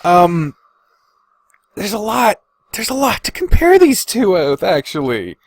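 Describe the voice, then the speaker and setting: Slow, hesitant man thinking aloud, dry recording. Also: sits high, wildly swooping pitch, dry recording. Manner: hesitant and deliberating, sighing, profane. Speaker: podcast host. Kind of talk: casual solo podcast commentary, thinking aloud.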